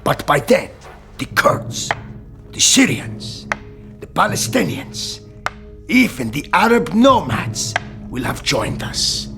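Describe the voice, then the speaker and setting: An actor playing a patient, shrewd sultan in a radio drama, speaking in a measured, stately way. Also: male voice, a little high, sing-song, bright, forceful tone, soft background music, heavily acted. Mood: confident, prophetic.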